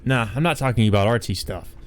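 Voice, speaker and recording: A man's voice: mid-range voice, fast, faint music behind, close to an everyday voice. A man voicing an insolent, carefree male visitor in a visual novel, over-familiar and needling in manner. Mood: probing.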